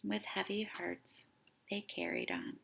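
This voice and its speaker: A woman narrates a children's story in a bright, forward voice with some gravelly creak at the edges. Her phrasing is deliberate and dramatic, as a storytime host reading a picture book to young listeners. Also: medium pitch, fairly even intonation, in a whisper.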